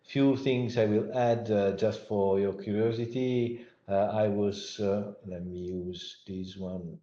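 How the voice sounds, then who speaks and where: A middle-aged man with an Italian accent delivers an online lecture in a mellow, low voice. He pauses often and restarts his sentences. The sound is roomy and muffled, as over a remote call. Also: fairly even intonation. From Italy. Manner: halting, frequent fillers and restarts. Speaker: guest lecturer, professor. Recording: echoey, dull lo-fi online audio.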